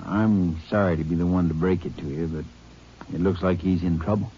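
A man playing a polite, soft-spoken small-town sheriff in an old radio drama. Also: deep voice, steady tone, fairly quick, slight background noise, natural voice. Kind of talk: 1950s radio acting. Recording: dull old radio recording.

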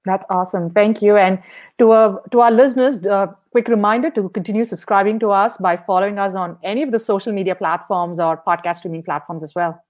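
An upbeat woman host leads a podcast interview at a quick clip. She moves briskly from question to question in a mid-range voice. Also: mid-range voice, darkish tone. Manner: upbeat, brisk wrap-up phrases. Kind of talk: interview hosting.

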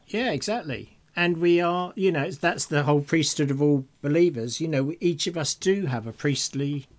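A man's voice: a little high, normal speed. A man co-hosting a reflective conversation about faith, speaking earnestly and repeating phrases for emphasis. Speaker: podcast co-host.

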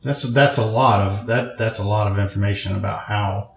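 A man's voice: on the low side, fairly even intonation, normal speed, vocal fry. A man with a northern Louisiana accent, a guest in a casual interview, talking in a joking way and often repeating himself. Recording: roomy echo.

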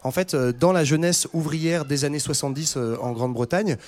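A man explaining history in a relaxed podcast conversation, with many fillers and restarts. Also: on the high side, fairly quick. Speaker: podcast co-host. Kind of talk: casual history discussion.

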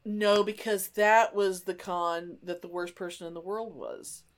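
A woman's voice: on the low side, measured pace. A woman co-hosting a casual podcast chat, telling stories in an emphatic, sweary way. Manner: animated, emphatic, sweary storyteller. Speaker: podcast co-host.

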